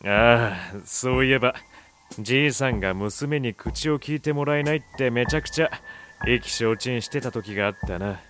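A blunt young man of the teasing sort, acted by a man. His mid-pitched voice carries rough, casual phrasing and some impatience, in the manner of audio drama. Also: moderate pace, a bit bright, slight background noise, natural voice. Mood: blunt, questioning. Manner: rough, blunt colloquial speech. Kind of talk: Japanese audio drama acting.

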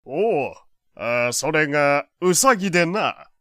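A man's voice: on the high side, expressive, takes it slowly, bright, forceful tone, no echo, clean studio sound, exaggerated voice. An actor playing a proud, doting, wealthy old father who talks in pompous old-fashioned speech in an audio drama. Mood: animated storytelling. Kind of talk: Japanese audio drama acting.

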